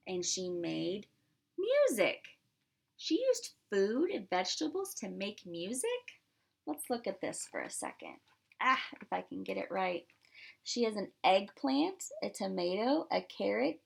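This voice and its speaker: Expressive woman reading aloud, slow and lively. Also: medium pitch, gravelly. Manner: expressive storytelling, emphasizes words, asks children questions. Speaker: pre-K teacher. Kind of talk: read-aloud to young children with explanations and questions.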